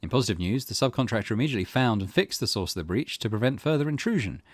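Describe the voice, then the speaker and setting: A man's voice: mid-range voice, animated, very fast, dry recording. A man hosting a let's play, reading game text aloud and commenting on it in a thoughtful, musing way.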